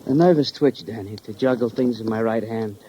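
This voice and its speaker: An actor playing a weary, brooding medical examiner in a 1950s radio crime drama, speaking in halting, emphatic, oddly philosophical phrases. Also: male voice, on the low side, dark tone, slight background noise, close to an everyday voice. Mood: intense, brooding. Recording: dull old radio recording.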